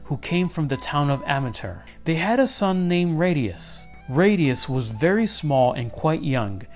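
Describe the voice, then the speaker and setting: A man reading a children's storybook aloud as an expressive narrator. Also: mid-range voice.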